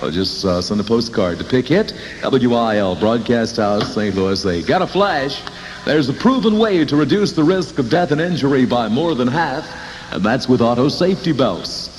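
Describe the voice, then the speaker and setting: A man's voice: mid-range voice, lively intonation, normal speed. A man with an American accent hosting a 1960s Top 40 radio show, chatting folksily to listeners and reading spots. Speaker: radio disc jockey. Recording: 1960s radio aircheck, hissy, long reverb.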